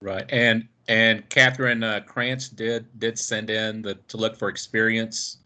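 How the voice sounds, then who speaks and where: A man moderating a conference call off the cuff, halting and searching for his words. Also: on the low side, flat delivery, pushed and bright. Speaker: meeting moderator.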